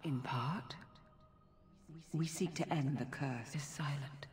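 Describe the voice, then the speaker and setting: An actress playing a serene, compassionate forest spirit in a video game, speaking in a measured, formal, solemn way. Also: female voice, low voice, darkish tone. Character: serene, dignified female forest spirit, compassionate protector of beasts. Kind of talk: dramatic video game acting. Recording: long cavernous reverb.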